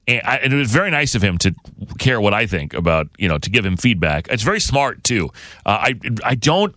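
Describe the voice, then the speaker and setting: Bright, animated man chatting as host. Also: lowish voice, a little fast, dry recording, clean studio sound. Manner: rambling, self-interrupting. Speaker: radio show host. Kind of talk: casual unscripted podcast chat.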